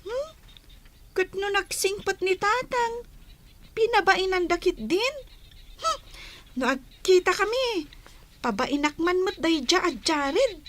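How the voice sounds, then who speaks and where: Very high-pitched feisty little schoolgirl. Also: lively intonation, takes it slowly, pauses often, a bit bright, slight background noise, exaggerated voice. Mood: defiant. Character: feisty, combative young schoolgirl, quick to retort. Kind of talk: Filipino radio drama acting.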